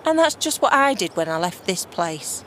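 A woman's voice: on the low side, sing-song, normal speed, slight background noise. A woman playing a bitter, defiant runaway daughter of a monarch in a fantasy audio drama, sarcastic and blunt, with slangy complaints.

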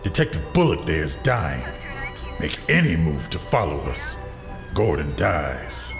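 A man's voice: extremely low pitch, big pitch swings, unhurried, creaky, music in the background, heavily acted. An actor playing a scheming, menacing male villain who taunts with theatrical relish. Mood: taunting. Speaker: villain in audio drama.

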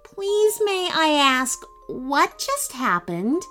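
A woman's voice: high voice, sing-song, very slow, bright, forceful tone, faint music behind, voice pushed up, heavily acted. A woman voicing a polite, thoughtful young boy who speaks hesitantly and carefully. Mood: polite, curious. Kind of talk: storybook character voice.

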